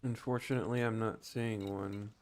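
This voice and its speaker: A man's voice: steady tone, normal speed, darkish tone. A man giving casual live commentary as he streams a game, now and then speaking short commands. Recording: noisy, engine rumble behind.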